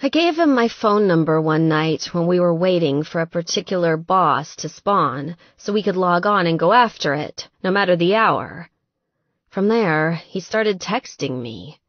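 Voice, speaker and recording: A woman's voice: lowish voice, animated, measured pace, no room sound, muffled recording. A woman voicing a sweet, distressed young woman gamer in an audiobook, plaintive and exasperated. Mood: confiding. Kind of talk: audiobook character dialogue.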